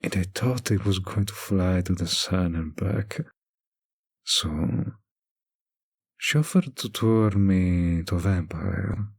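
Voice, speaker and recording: Casting: male actor as a suave old vampire barkeep for audio roleplay, low-pitched and husky, languid and teasing. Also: takes it slowly, exaggerated voice. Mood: wistful. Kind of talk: intimate scripted roleplay addressed to the listener.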